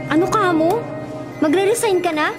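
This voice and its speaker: High-voiced frank Filipino friend. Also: female voice, moderate pace, loud music behind the voice. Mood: gentle. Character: frank, sensible young woman, confronting friend. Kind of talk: Filipino radio drama acting.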